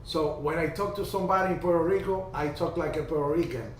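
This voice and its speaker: A man, hosting a casual ham radio video chat and telling stories in a chatty way, with halting restarts. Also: fairly high pitch. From Cuba. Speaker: ham radio livestream host. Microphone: video call. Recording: distant roomy video-call audio, faint hiss.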